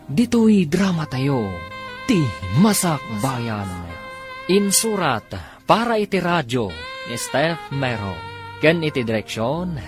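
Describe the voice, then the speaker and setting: Radio drama narrator: Ilocano Filipino man, medium pitch, highly expressive, dramatic scripted read over music.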